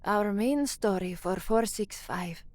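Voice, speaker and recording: A woman's voice: mid-range voice, slow, a little mellow, no room sound, lightly acted. An actress playing a young, anxious woman who works as a radio newsreader in an audio drama. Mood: formal, composed. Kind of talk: audio drama dialogue, narration and formal newsreading.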